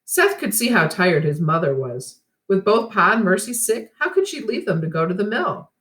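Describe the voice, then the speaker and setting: A woman reading a children's storybook aloud like a teacher, with expressive and deliberate phrasing. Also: medium pitch, reverberant.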